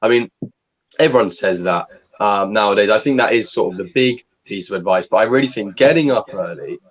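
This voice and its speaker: A young man with a fairly low voice co-hosts a relaxed livestream chat. He speaks calmly and deliberately, giving steady advice. The audio is dull and lo-fi. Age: young adult. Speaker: co-host.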